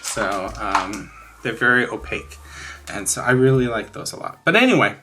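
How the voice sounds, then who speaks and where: A man's voice: lowish voice, animated, normal speed, noisy background. A man in his sixties hosting a craft channel, chatting casually and candidly in a rambling, emphatic way. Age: 60s. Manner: rambling, candid, emphatic about likes and dislikes. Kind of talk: casual chat showing finished coloring pages.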